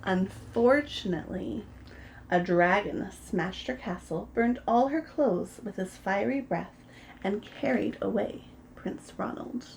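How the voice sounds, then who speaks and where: A woman reading a children's picture book aloud, gentle and expressive as a preschool storyteller. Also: medium pitch, takes it slowly, vocal fry, slight background noise, low-quality recording. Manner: gentle and expressive, voices the characters.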